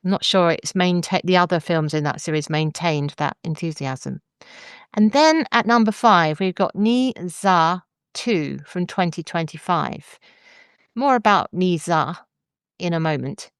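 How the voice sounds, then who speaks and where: A woman's voice: fairly low pitch, lively intonation, unhurried, clean tone, no room sound, clean studio sound. A woman hosting a podcast in a conversational presenting style. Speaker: podcast host.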